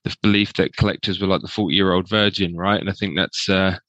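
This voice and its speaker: A man's voice: low voice, level tone, a little fast, a bit bright, no room sound. Man in his thirties, dull dry audio. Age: 30s. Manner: chatty, reflective, with many asides. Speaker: podcast co-host. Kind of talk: casual chat.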